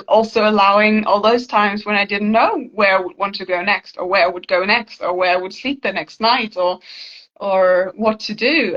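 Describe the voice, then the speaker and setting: A woman's voice: mid-range voice, fairly bright tone, highs cut off. A woman from Germany, a native German speaker, talking reflectively and casually, searching thoughtfully for her words.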